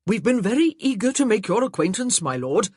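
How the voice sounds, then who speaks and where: A narrator voicing a hearty, rambling, nearsighted older gentleman in a period audiobook. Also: big pitch swings, a little fast, husky, no room sound, clean studio sound, full character voice. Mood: jovial. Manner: jovial, booming, rambling. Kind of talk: audiobook character voice.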